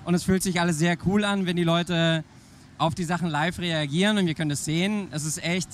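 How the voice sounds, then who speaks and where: A man's voice: fairly high pitch, fairly even intonation, fairly quick, slight background noise. A man, an indie game developer, answering interview questions in a playful, jokey way while tired from a long fair. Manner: playful, jokey, thinks aloud. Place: busy indoor trade-fair hall.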